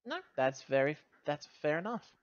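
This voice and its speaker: A man's voice: a little high, animated, moderate pace, dry recording. A man playing a tabletop game, talking through the situation in conversation, in slightly dull close audio.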